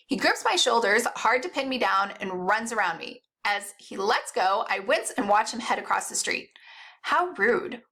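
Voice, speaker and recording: A woman reading first-person novel narration aloud in an expressive, animated storytelling way. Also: a little high, gravelly. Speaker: audiobook-style reader.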